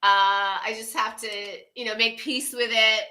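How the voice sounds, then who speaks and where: Bright-voiced enthusiastic woman in her fifties. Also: on the high side, steady tone, unhurried. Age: 50s. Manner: enthusiastic, effusive, laughs often. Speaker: co-host of a wellness livestream. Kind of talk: casual livestream chat.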